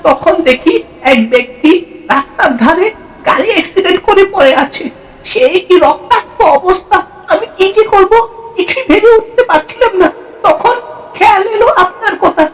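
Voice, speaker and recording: A woman's voice: very high-pitched, rough recording. A woman voicing a frightened, bewildered village man in a horror cartoon, pleading and repeating himself. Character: panicked, bewildered village man, secretly a ghost. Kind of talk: cartoon horror story acting.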